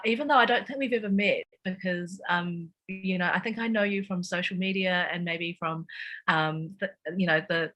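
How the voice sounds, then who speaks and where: A woman's voice: fairly low pitch, bright, forceful tone, rough recording. A woman moderating a writers' panel, introducing a guest in a hesitant way. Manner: hesitant, many fillers and restarts.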